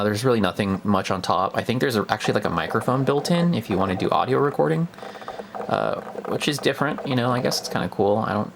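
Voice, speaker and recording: A man's voice: lowish voice, gravelly, noisy background. A young man giving a casual, unscripted product review in a hesitant, conversational way, as if thinking aloud.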